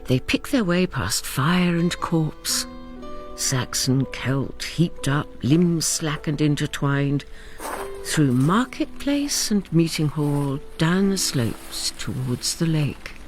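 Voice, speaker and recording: Slow, low-voiced woman narrator. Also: animated, soft background music. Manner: measured, solemn. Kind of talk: dramatic scripted radio drama narration.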